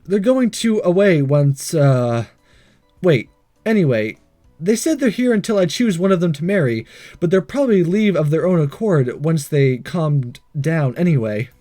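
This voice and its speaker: A man's voice: on the high side, very animated, unhurried, a little mellow. A man hosting a let's play, reading visual novel dialogue aloud in a playful, theatrical way with joking asides. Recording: game music behind.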